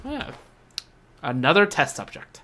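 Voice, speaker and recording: A video game livestreamer chats casually in a medium-pitched voice. The delivery is rambling and slangy, thinking aloud while playing. Game music runs behind the speech. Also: sing-song, measured pace, pushed and bright. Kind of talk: casual gameplay commentary.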